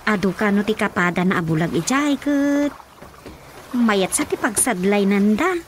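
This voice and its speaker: An actress with an Ilocano accent playing an outspoken, gossipy wife in a comic radio drama. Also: female voice, medium pitch, animated, measured pace, a little hiss or hum, close to an everyday voice. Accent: Filipino, Ilocano. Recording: radio drama with music underneath.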